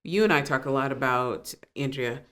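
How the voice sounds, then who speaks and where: A woman's voice: a bass voice, normal speed, noisy background. A woman from Trinidad and Tobago, hosting a casual podcast chat with curious questions. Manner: curious, chatty, laughs along. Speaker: podcast host. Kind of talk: casual interview.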